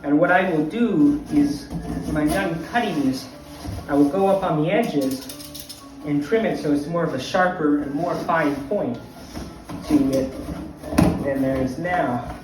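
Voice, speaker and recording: A man doing a rambling old vampire count, slightly high voice, digressive anecdotes, very echoey room from afar, music behind. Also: a little slow, long pauses, recorded from a distance, close to an everyday voice. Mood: instructive. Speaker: craft tutorial host in character. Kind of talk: in-character crafting tutorial. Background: music playing.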